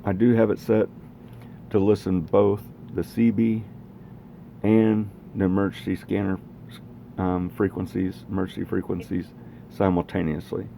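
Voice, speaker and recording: A man's voice: low voice, level tone, unhurried, creaky, mellow, slight background noise. A man casually demonstrating radio equipment in a rambling, plainspoken way, recorded in a home garage. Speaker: radio hobbyist presenting own equipment.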